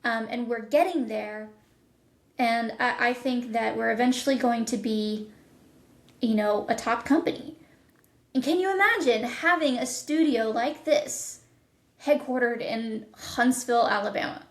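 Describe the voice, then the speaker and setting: A woman with a fairly high voice talks casually in an interview, enthusiastic and emphatic about her points. The room around her adds clear echo, and she speaks as a creative producer guesting on a show. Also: unhurried. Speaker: podcast guest, indie audio drama producer. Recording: roomy, echoing voice.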